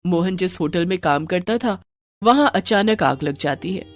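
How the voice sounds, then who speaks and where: A woman's voice: lowish voice, lively intonation, normal speed, soft background music. A woman narrating a scripted moral bedtime story for children.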